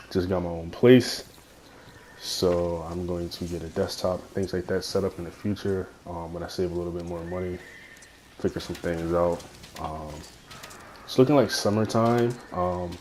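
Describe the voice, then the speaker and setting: A young man with a cold and a raspy voice, rambling through casual gameplay commentary into a phone headphone mic, full of fillers and swearing. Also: low voice, steady tone, unhurried, long pauses, a little mellow, slight background noise. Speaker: gaming YouTuber.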